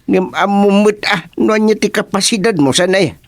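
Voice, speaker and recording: A man's voice: sits high, moderate pace, no room sound, studio quality. A man acting an opinionated, talkative townsman in a radio comedy drama. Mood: amused, sarcastic. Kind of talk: radio serial comedy drama dialogue in Ilocano.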